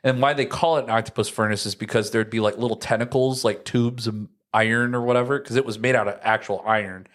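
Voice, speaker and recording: A man's voice: mid-range voice, fairly even intonation, fast, few pauses, a bit bright. A young American man chatting casually and telling childhood stories in slangy language, acting out moments as he goes. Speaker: podcast host.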